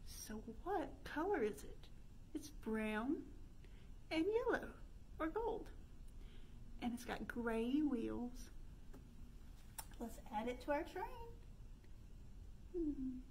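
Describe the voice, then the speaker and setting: Female storytime leader, 30s, high voice, exaggerated sing-song delivery for preschoolers, noticeable background noise. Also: lively intonation, slow pace, long pauses, darkish tone. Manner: sing-song, exaggerated, asking questions to young children. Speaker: children's librarian leading storytime. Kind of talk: interactive children's storytime with chants. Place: indoor room by a stone fireplace.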